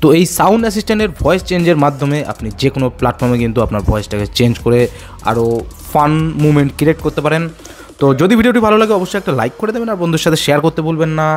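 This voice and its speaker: A man's voice: a little high, animated, very fast. A man giving a casual, explanatory tech tutorial voice-over. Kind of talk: instructional voice-over.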